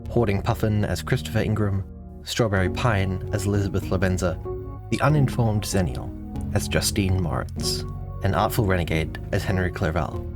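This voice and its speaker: Low-voiced man over prominent music. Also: moderate pace. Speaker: credits announcer. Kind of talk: scripted credits read.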